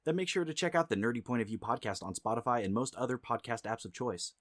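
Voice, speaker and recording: A man's voice: medium pitch, expressive, fast, a bit bright, no echo. A man reading a playful, conversational promo as a podcast host, close to the microphone. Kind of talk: scripted promo read, jokey. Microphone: close dry microphone.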